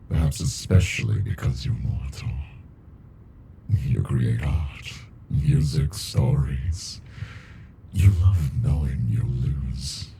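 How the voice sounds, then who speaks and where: An ancient, weary alien monster murmurs in an extremely low, dark voice with a gravelly edge. He speaks slowly and deliberately, brooding and condescending yet intimate, as in an ASMR roleplay. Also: slight background noise, full character voice. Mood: reluctant wonder. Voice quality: very deep, gravelly, breathy murmur. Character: ancient, weary, captive male alien monster, aloof but fascinated. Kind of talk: ASMR character roleplay monologue.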